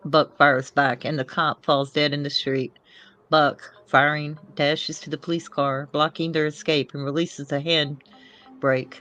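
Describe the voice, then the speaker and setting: A woman's voice: sits low, a bit bright. A woman narrating screenplay action in a loose, informal way, sometimes stumbling over the script. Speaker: co-reader of screenplay.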